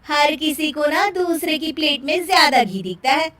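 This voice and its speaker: Woman acting huffy, high-voiced young working daughter-in-law. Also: expressive, normal speed, fairly bright tone. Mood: irritated. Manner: emphatic, defensive complaining. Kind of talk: cartoon moral story acting.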